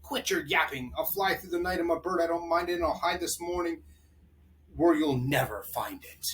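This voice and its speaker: A man's voice: on the high side, moderate pace, fairly bright tone, a little hiss or hum, close to an everyday voice. Man voicing a sneering mean eagle.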